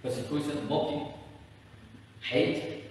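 A middle-aged pastor, a native Indonesian speaker, preaches slowly in a high voice, leaning on each phrase. He swings between quiet asides and loud rises as he paces and gestures. He sounds distant from the mic in a big reverberant community hall. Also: steady tone, a little hiss or hum. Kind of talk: sermon. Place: large bare community hall, indoors. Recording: echoey hall, voice far from the mic.

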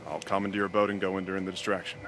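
Low-voiced, gruff cop played by a man. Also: level tone, racing, lightly acted. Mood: stern, matter-of-fact. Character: protective, by-the-book cop, stern older brother. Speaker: video game actor. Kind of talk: cinematic game dialogue. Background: dramatic music.

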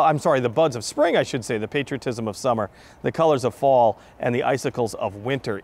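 An American man in his fifties, hosting a conversational television interview and presenting segment. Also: medium pitch. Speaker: TV host and interviewer. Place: large exhibition hall, indoors.

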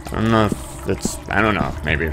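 A man's voice: sits low, normal speed, very noisy. A man giving casual let's-play commentary, muttering asides and bursting into sudden exclamations, as host of a gaming video. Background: game music and sound effects.

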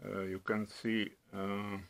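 Slow, gravelly Czech-accented man. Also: on the low side, flat delivery, a bit bright. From Czech Republic. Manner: slow and halting, many hesitations. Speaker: product reviewer. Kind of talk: casual product demo. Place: home room at a table.

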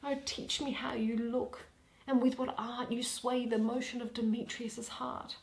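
Slow, deliberate young woman reading verse. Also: on the high side, vocal fry, rough recording. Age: young adult. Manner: deliberate, expressive, pausing between phrases. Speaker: reader of a Shakespeare play. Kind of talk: dramatic solo play reading, verse. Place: small plain room indoors.

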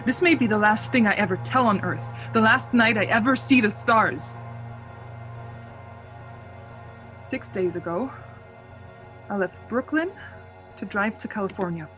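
A woman's voice: mid-range voice, normal speed, fairly bright tone, faint music behind, close to an everyday voice. An actress playing a frightened young traveler who insists she is sane, in a suspense radio play, hesitating and repeating words. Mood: anxious, urgent.

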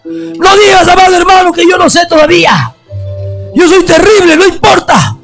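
A male preacher giving a fervent altar call, often shouting and stretching out his phrases. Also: extremely high pitch, sing-song, moderate pace, soft background music.

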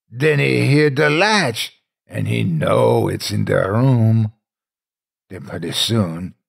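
A man in his sixties narrating a horror story in a theatrical, folksy manner with drawn-out suspenseful pauses. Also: fairly low pitch, gravelly, slightly rough. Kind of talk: dramatic scary-story narration.

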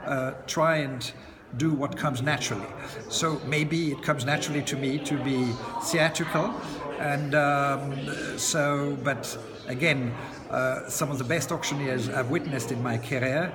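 A man in his sixties, an auctioneer, answering interview questions in a relaxed, chatty way with frequent "uh" pauses and light chuckles, filmed up close in an indoor lounge by large windows. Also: mid-range voice. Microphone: camera close to his face. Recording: long echo, loud crowd chatter behind.

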